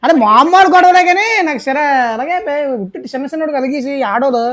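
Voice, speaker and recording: Very fast-talking young Telugu man. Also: extremely high pitch, animated, a bit bright. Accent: Telugu, coastal Andhra. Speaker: prank caller. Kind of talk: casual phone conversation.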